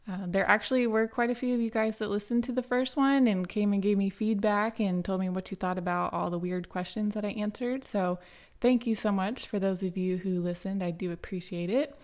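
A young woman with a slightly raspy voice hosts a relaxed solo podcast. She talks in a loose, rambling way, full of "um" and "like", and keeps coming back to restart her sentences. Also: medium pitch, fairly quick. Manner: chatty, many fillers and restarts, light laughs. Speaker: podcast host. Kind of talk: casual solo podcast storytelling.

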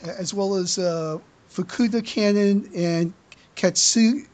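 A podcast host working through fan news in casual chat. The delivery is halting and stammering, with words often repeated, at a middling pitch. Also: darkish tone.

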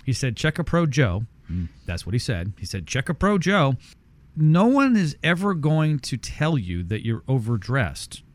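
Salesy male radio host, lively pitch. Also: unhurried. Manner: salesy, emphatic, repeats phone numbers. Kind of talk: upbeat radio talk and read ads. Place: radio studio.

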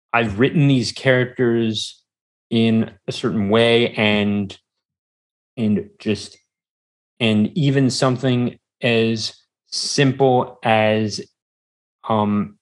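Slow, halting man in casual conversation. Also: mid-range voice. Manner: hesitant, halting, rambling with repeats. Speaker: podcast guest, podcast producer. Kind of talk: casual interview chat. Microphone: standard podcast microphone.

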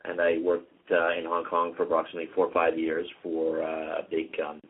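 A man's voice: low voice, a little fast, husky. A middle-aged Canadian man, a real estate executive, chatting as an interview guest with lots of ums and uhs. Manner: relaxed, many ums and uhs. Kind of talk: casual interview conversation. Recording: tinny phone-like line.

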